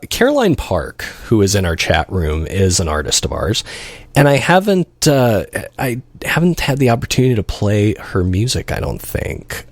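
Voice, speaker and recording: A man's voice: on the low side, dry recording. A man hosting a live radio show, chatting casually and rambling off into asides as he introduces things. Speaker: radio host and DJ.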